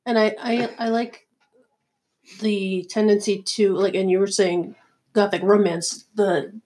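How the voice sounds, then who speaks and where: A woman's voice: medium pitch, level tone, a little slow, darkish tone. Woman searching for words on a podcast. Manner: searching for words, tentative phrasing. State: recovering from a cold. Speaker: podcast co-host. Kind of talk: casual podcast discussion.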